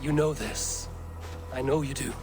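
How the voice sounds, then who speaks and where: An actor playing a resolute, loyal Viking warrior in a video game, speaking in a solemn, formal way. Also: male voice, mid-range voice, steady tone, measured pace, lightly acted. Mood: urgent, pleading. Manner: solemn, earnest, formal phrasing. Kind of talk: dramatic video game acting. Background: game music.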